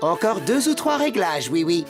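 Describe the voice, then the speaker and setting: Une femme qui joue un petit robot inventeur de dessin animé, poli et tatillon, qui ponctue ses phrases de bourdonnements. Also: female voice, lowish voice, sing-song, moderate pace. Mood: fussy, cautioning. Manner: fussy, punctuated with robotic buzzing babble. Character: fussy, polite little robot inventor with buzzing catchphrase. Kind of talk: cartoon dub acting. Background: music.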